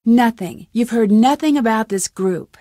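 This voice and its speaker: A woman's voice: fairly high pitch, unhurried, mellow, no room sound, studio quality, close to an everyday voice. A woman voicing a determined, suspicious young amateur detective in a video game, with an earnest, questioning manner. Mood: insistent.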